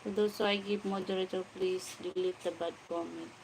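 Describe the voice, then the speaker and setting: A woman's voice: fairly low pitch, level tone, fairly quick, creaky, darkish tone, slight background noise, low-quality recording. A Filipino woman in her forties with a Filipino accent, chatting on a casual late-night home livestream and greeting viewers by name as she reads their comments aloud. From Philippines. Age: 40s. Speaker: livestream vlogger.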